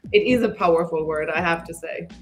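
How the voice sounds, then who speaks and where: Lower-pitched woman in casual chat. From Finland.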